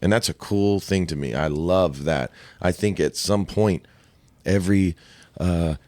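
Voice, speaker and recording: A man from Ohio with a deep voice, a guest singer-songwriter talking casually about his craft, relaxed and chatty with lots of "dude" and "right?". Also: measured pace, no echo. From Ohio, USA. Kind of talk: casual podcast conversation.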